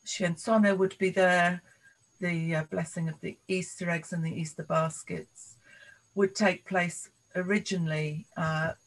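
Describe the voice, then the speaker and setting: Slow, flat-voiced older woman. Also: lowish voice, poor audio. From London, England. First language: Polish. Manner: thoughtful, halting reminiscing. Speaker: interviewee. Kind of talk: oral history recollection.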